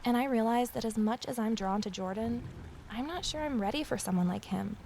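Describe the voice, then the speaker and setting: A woman's voice: fairly high pitch, brisk, darkish tone, slight background noise, close to an everyday voice. An actress playing a guarded, lonely amateur sleuth who narrates her own mystery in an introspective audio drama style. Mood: wistful.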